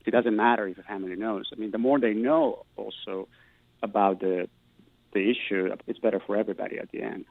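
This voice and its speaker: A man's voice: lowish voice, fairly even intonation, brisk, vocal fry, no echo. Man, tinny and dry. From Peru. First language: Spanish. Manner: earnest, thoughtful, restarts words while searching. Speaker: guest, Spanish professor. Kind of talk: recorded interview answers.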